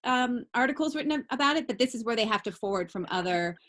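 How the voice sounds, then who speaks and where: A woman teacher leads a class over a video call. She talks in a loose, chatty way with many "um"s and "uh"s. Her voice sits a little high. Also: animated, fast talker. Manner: warm, chatty, many fillers. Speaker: college teacher leading the class.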